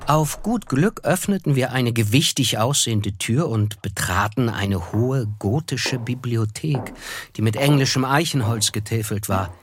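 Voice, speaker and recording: A man's voice: medium pitch, unhurried, breathy edge. A man narrating a radio drama in a scripted, literary style.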